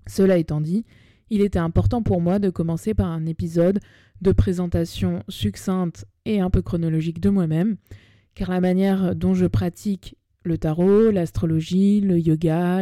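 Female podcast host, 30, Parisian accent. Lowish, very mellow voice; chatty, colloquial, self-deprecating solo delivery. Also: no echo. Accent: Parisian French. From Paris, France. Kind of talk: personal monologue podcast, semi-scripted narration. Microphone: close podcast microphone.

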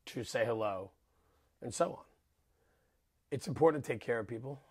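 A man of ordinary pitch hosting a podcast in a studio. He rambles through loose, teasing banter, full of fillers and self-interruptions. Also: animated, moderate pace, breathy edge. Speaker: podcast host. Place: studio with cameras.

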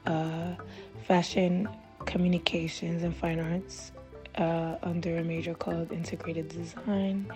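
A woman in her late twenties, speaking slowly and reflectively in an interview. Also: lowish voice, level tone, music in the background. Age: 20s.

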